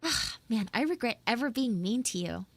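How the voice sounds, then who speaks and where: A woman's voice: on the high side, lively intonation, normal speed, no room sound. A young woman playing a flustered, pleading tsundere schoolgirl in a live roleplay, rambling and stammering dramatically. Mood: sheepish. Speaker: live audio roleplay streamer. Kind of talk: improvised live character roleplay.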